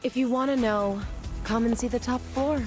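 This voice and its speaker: An actress voicing a cool, alluring, faintly mocking underworld guide in an English video game dub. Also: female voice, fairly high pitch, steady tone, moderate pace, over music, natural voice.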